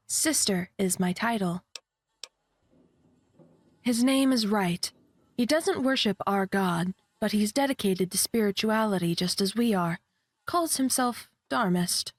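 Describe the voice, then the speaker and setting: An actress playing a calm, devout religious sister in a video game, speaking in a measured way. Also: female voice, mid-range voice, no echo, close to an everyday voice. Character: serene, devout religious sister giving counsel. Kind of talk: video game character dialogue.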